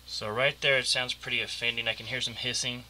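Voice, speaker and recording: Bright-voiced man explaining audio software. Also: mid-range voice, fairly quick, a little hiss or hum, poor audio. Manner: relaxed, rambling, many ums and restarts. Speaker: home recording tutor. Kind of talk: casual screen-recorded tutorial. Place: home room with computer running and an open door. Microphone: cheap microphone.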